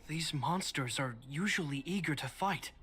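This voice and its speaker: A man voicing a steady, cautious young guard captain in a video game, giving calm, measured commands. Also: on the high side, husky.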